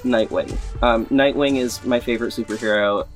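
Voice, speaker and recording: A man's voice: medium pitch, fairly even intonation, moderate pace. A man hosting a podcast in an informal, rambling way, repeating words for emphasis as he gives a casual update. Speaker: podcast host and audio drama creator. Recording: music playing behind the voice. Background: background music.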